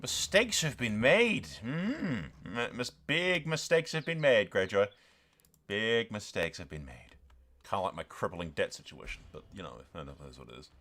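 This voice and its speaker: A man's voice: on the low side, big pitch swings, moderate pace, fairly bright tone. A man giving casual, sweary game commentary, slipping into mock-grandiose role-play narration. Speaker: gaming video host.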